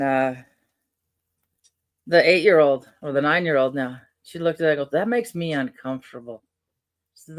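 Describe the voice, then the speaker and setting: A middle-aged man with a soft voice, chatting casually while he unpacks and crafts. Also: a little high, no room sound, studio quality. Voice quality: soft-spoken. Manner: rambling, chatty, many fillers and restarts. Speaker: vlogger unboxing and crafting.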